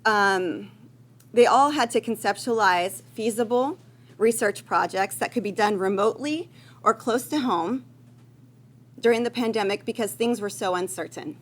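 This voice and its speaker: A woman's voice: mid-range voice, moderate pace. Woman hosting an academic conference. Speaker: conference host introducing student researchers. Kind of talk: semi-formal event remarks. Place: live conference room with audience. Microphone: event microphone.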